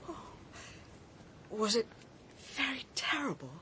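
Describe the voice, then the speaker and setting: An actress playing a gentle, sympathetic, horrified woman with a soft, hesitant delivery in a radio drama. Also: a little high, sing-song, takes it slowly, slight background noise, exaggerated voice. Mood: concerned.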